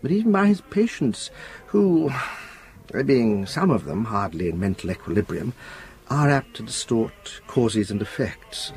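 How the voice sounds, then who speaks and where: An actor playing an eerily courteous, learned asylum patient who speaks formally and pedantically in an audio drama. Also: male voice, fairly low pitch, big pitch swings, unhurried, creaky, darkish tone, heavily acted. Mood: lofty, lecturing. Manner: formal, pedantic, precise diction. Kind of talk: British radio drama acting.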